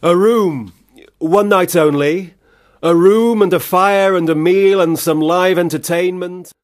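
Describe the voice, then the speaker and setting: A self-important young gentleman artist with a fairly high voice. He talks in florid, slightly halting phrases, hopeful and pompous, in a period radio play. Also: no echo. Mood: breezy. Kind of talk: radio drama acting.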